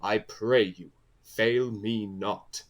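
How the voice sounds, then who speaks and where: A man's voice: lowish voice, fairly even intonation, very slow. A man playing a fussy, coaxing workman who directs amateur players, earnest and persuasive, in a comic Shakespearean audio play.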